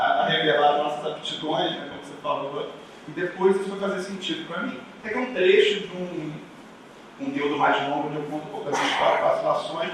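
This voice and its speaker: A man's voice: fairly high pitch, a bit bright. A man from Rio de Janeiro with a Carioca accent gives an informal, reflective conference talk, telling his story with conversational asides to the audience. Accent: Carioca Brazilian Portuguese. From Rio de Janeiro, Brazil. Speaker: conference speaker, designer. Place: large echoey indoor event room. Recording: very echoey hall, distant mic, faint noise.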